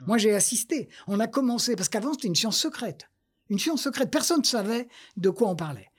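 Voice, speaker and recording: A man's voice: sits high, animated, brisk, breathy edge. A man. He speaks as an academic being interviewed, wandering between anecdotes. He repeats phrases for emphasis and plays himself down. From France. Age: 70s. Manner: digressive, self-deprecating, emphatic repetitions. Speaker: interview guest, academic researcher.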